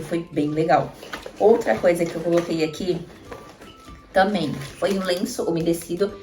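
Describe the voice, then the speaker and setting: A woman in her thirties with a Brazilian accent, a mother showing travel items in a chatty vlog and talking straight to viewers. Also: lowish voice. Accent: Brazilian Portuguese. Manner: chatty, addresses viewers directly with frequent tag questions. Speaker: mother vlogger. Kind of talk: casual show-and-tell tips. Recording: echoey room, mic at a distance, faint music.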